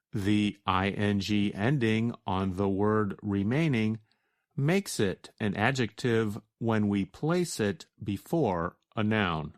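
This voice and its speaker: An American man with a fairly low voice teaches from a script. He speaks very slowly and deliberately, explaining each point in a measured way. The sound is dry, with hardly any room. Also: no room sound. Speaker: teacher answering a listener's question. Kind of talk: slow scripted educational narration.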